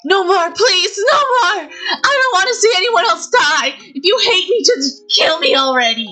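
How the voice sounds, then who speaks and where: A woman's voice: extremely high pitch, expressive, a little slow, sharp tone, soft background music, pitched above the performer's own voice, exaggerated voice. A woman voicing a terrified teenage girl locked in a cell, screaming and sobbing frantic pleas.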